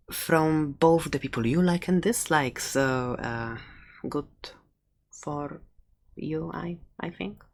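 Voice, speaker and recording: A performer voicing a furry animal shopkeeper in an ASMR roleplay, soft-spoken and halting, often slipping toward a whisper, doting with an edge of menace. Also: mid-range voice. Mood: earnest, explaining.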